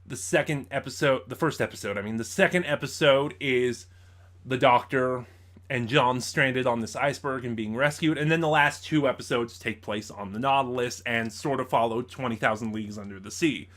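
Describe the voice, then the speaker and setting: Man giving a halting, informal review. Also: medium pitch, a bit bright. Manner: halting, thinking aloud, self-correcting. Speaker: audio drama reviewer.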